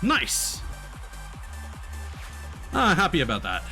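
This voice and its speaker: A man's voice: fairly high pitch, huge pitch swings, slow, sharp tone, soft background music. A man doing casual live gaming commentary, thinking aloud and chatting to his viewers. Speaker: livestreamer, gamer.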